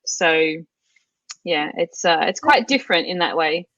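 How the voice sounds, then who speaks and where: A woman's voice: fairly low pitch, animated, a little slow, a bit bright, no echo, muffled recording. A middle-aged Australian woman chatting casually as an interview guest, with lots of fillers and restarts. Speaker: guest, language course creator.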